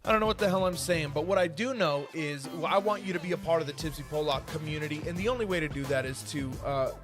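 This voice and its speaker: A man's voice: fairly high pitch, fast talker, music in the background. A man wrapping up a podcast episode with rambling, slangy plugs for social media. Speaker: podcast host. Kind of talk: casual podcast outro.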